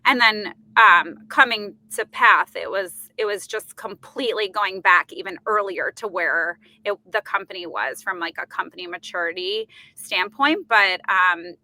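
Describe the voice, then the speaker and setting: A woman's voice: mid-range voice, a little slow, few pauses, pushed and bright, low-quality recording. A woman in her thirties from New York with a New York accent, answering interview questions fluently with frequent fillers and restarts. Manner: fluent, many fillers and self-corrections. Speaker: guest, company executive. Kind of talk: podcast interview.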